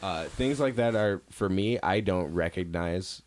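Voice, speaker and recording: Very low-voiced American male podcast host. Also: unhurried. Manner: informal, slangy, interjecting. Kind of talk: casual studio interview.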